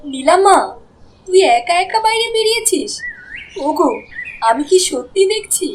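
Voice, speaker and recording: A woman's voice: very high-pitched, animated, moderate pace, slight background noise. A woman voicing a tender, anxious village mother in a cartoon. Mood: amazed, hopeful. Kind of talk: Bengali cartoon voice acting.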